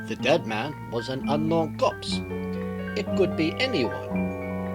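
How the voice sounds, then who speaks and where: An actor playing a suave, clever detective with a French accent, speaking with formal, precise politeness in a radio mystery style. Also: male voice, medium pitch. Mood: composed, explaining. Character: suave, clever, courteous foreign male detective, unhurried and self-assured. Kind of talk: old-time radio mystery acting.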